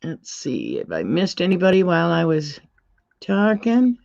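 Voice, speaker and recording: Middle-aged woman host, deep voice, unhurried and chatty, slightly dull lo-fi stream sound. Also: huge pitch swings, vocal fry, a little mellow. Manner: chatty, laughs often, reads chat comments aloud. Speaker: livestream host. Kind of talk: casual livestream chat.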